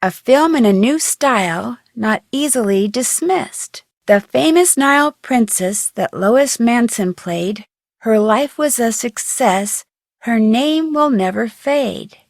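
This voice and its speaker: A young American woman playing a curious, chirpy amateur detective in an adventure game, thinking aloud with clear enunciation. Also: on the high side, animated, takes it slowly, no echo, studio quality. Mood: reciting. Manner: clearly enunciated, inquisitive musing to herself.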